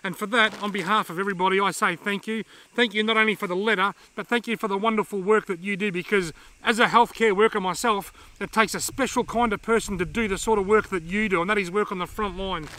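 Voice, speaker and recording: An Australian man in his forties, chatting casually to camera outdoors as a vlog host, friendly and quick to laugh. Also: sits high, steady tone, bright, forceful tone. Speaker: fishing channel host. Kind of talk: casual vlog reading viewer mail. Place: outdoors in dry open woodland. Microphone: camera close up at arm's length.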